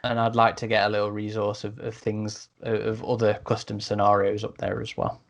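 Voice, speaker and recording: A man's voice: fairly low pitch, completely flat delivery, a little fast, vocal fry. A man hosting a podcast in a casual, chatty, matey way, speaking informally to listeners. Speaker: podcast host.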